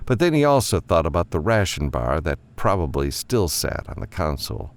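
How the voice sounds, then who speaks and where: Guy narrating a novel, lower pitched voice, lots of up and down, some gravel. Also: sing-song, normal speed. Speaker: audiobook narrator. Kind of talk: scripted fiction narration.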